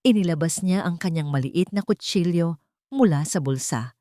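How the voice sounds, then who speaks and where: Low, dark-voiced woman narrating drama. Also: normal speed, no echo, clean studio sound. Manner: steady, dramatic storytelling. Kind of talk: scripted audio drama narration.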